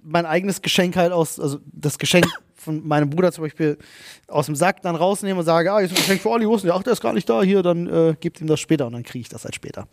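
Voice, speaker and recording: A man's voice: a little high, fast. A man telling family anecdotes in casual, colloquial chat, often acting out quotes.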